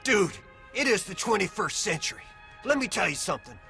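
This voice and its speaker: An actor playing a sarcastic, wisecracking biker gang member in a video game, slangy and contemptuous. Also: male voice, high voice, very animated, a little fast, vocal fry, pushed and bright, faint music behind, heavily acted. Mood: mocking. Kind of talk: video game cutscene acting.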